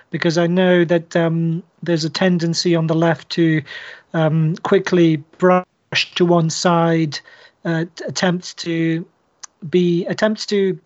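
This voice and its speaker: A man co-hosting a podcast, putting long, analytical questions in a measured and deliberate way. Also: on the high side. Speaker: podcast co-host, interviewer. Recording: dull, band-limited online audio.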